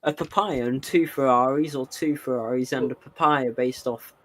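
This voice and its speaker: Casting: tired, throaty commentator, dry wit, mid-range voice, sim racing broadcast. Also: male voice, mid-range voice, fairly even intonation, moderate pace. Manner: dry, wry asides between race calls. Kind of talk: live esports race commentary.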